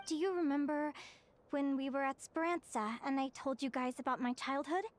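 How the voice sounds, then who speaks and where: A woman playing a young fae girl in an English anime-style game, earnest and vulnerable yet brave, with emotional, halting sincerity. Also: high-pitched, a little hiss or hum. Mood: reflective, resolute.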